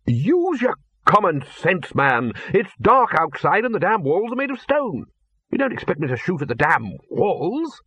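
A man reading an audiobook voices a gruff, blunt old male wizard chief who barks short phrases. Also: high-pitched, big pitch swings, unhurried, bright, forceful tone, no echo, voice pushed up, exaggerated voice. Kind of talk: audiobook character voice.

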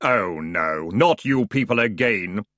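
A man's voice: lowish voice, animated, takes it slowly, a bit bright, dry recording, clean studio sound. A man doing a grave, weary ferryman of death. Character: hooded skeletal ferryman of the dead, weary. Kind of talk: audiobook character voice.

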